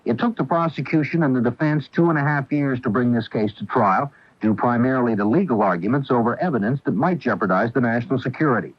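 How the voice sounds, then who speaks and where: A man's voice: mid-range voice, fast talker, no echo. An American man delivering a scripted news report as a radio correspondent. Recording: dull, dry vintage radio broadcast.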